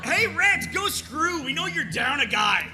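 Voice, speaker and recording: A man playing an exasperated, bossy squad leader in an animated comedy table read, argumentative and emphatic. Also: very high-pitched, measured pace, over music, exaggerated voice. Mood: loud, indignant. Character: exasperated, bossy male soldier leading a squad.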